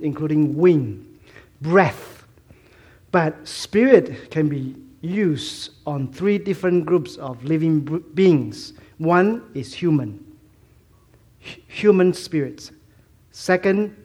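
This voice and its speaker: A middle-aged man from Malaysia preaching a sermon in English, with a mixed Malaysian, Hong Kong and Fuzhou accent, halting with many fillers and restarts and self-deprecating humor. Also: a little high, a little mellow. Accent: Malaysian Chinese English, mostly Fuzhounese-tinged.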